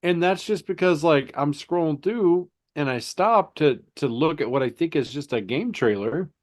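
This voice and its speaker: A man co-hosting a casual podcast with a stuffy, nasal voice, telling stories in a halting way with many restarts. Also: fairly high pitch, animated. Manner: halting, repeats words, storytelling. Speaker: podcast co-host.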